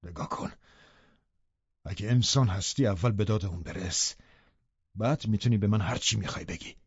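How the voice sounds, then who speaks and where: Storybook role: man playing passionate brooding lover, low-volume intensity, breathy husky delivery, echoey room sound. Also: muffled recording. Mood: grim, restrained. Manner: hushed, intense, imploring. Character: brooding, passionate male lover in a forbidden romance.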